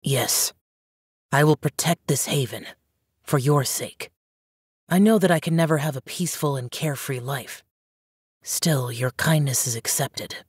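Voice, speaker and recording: A woman playing an aloof young male immortal demon hunter in a video game, speaking in a terse, solemn, formal way with a husky voice. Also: extremely low pitch, measured pace, pauses often, no echo, studio quality, heavily acted. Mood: solemn, protective. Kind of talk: English video game character dialogue.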